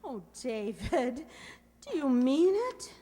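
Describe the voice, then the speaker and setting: An actress playing a feisty, sharp-tongued young mother in an old-time radio comedy. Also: high-pitched, very animated, slow pace, full character voice. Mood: softened, amazed. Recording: reverberant hall, stage radio play.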